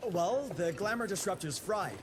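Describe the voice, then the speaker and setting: A woman's voice: lowish voice, animated, moderate pace, very noisy, close to an everyday voice. A woman playing a nervous, hesitant engineer in a video game cutscene. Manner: stammering, hesitant.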